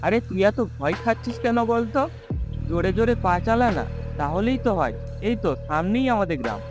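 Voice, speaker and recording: Man voicing a high-pitched, brash village lad. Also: animated, moderate pace, over music. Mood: teasing. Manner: dismissive, breezy. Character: brash, carefree young village man, teasing friend. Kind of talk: cartoon story voice acting.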